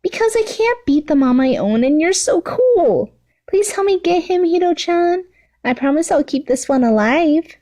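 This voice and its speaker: A woman voicing a giggly, clingy, bloodthirsty teenage villain girl in an excitable, gushing way for an anime fan story. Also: very high-pitched, normal speed, pitched above the performer's own voice. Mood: pleading.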